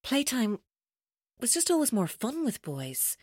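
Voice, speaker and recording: An unhinged female captor in a radio play, swooping widely in pitch. She is taunting and theatrical, turning from playful to bitterly intense, heard very close and dry. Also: sing-song, unhurried, darkish tone, no echo. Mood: wistful, playful. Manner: theatrical, mocking, mercurial, intense. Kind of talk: audio drama acting.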